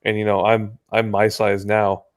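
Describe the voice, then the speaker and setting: A man's voice: fairly low pitch, unhurried. Laughing, swearing young American man. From United States. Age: early 20s. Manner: animated storyteller, laughs and swears a lot. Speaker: guest. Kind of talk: casual chat on a video call.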